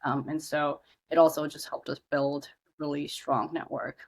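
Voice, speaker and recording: A young woman from India, guest on a podcast, speaks with a notably low, deep voice. She talks in a loose, chatty way, full of "um"s and false starts. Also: level tone, moderate pace, slightly rough. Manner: chatty, many fillers and restarts. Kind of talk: casual podcast interview.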